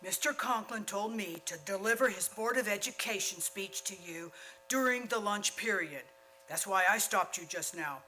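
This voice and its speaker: A woman playing a dim, eager, polite teenage boy athlete with slow-witted, ungrammatical speech in a radio comedy. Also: medium pitch, sharp tone, faint music behind, lightly acted, poor audio. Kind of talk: old-time radio comedy acting.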